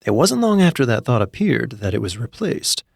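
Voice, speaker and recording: A man narrating a scripted comic story in an animated, wry way. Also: mid-range voice, moderate pace, vocal fry. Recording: music behind the voice. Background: background music.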